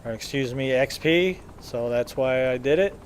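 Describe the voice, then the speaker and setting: A man giving casual video game walkthrough commentary in a rambling, halting, matter-of-fact way. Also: medium pitch. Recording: faint game engine noise behind.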